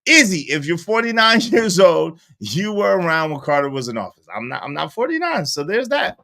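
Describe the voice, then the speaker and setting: A man's voice: on the high side, big pitch swings, moderate pace, vocal fry, bright, forceful tone. A man in his forties hosting a live stream, chatting casually in slang and reading viewer comments aloud. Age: 40s.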